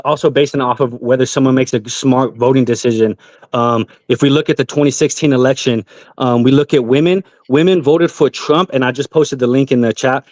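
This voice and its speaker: A man's voice: fairly high pitch, darkish tone, no echo. A man on a live panel, methodically laying out figures to argue a point. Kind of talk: argumentative panel talk. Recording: dull lo-fi mic.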